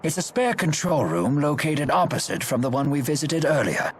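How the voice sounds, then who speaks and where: An actor playing a courteous, wise older male steward and strategist in a game, speaking formally and with measured care. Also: fairly high pitch, big pitch swings, a little hiss or hum. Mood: calm, wry. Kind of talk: English game dub acting. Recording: game soundtrack music behind the voice. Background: background music.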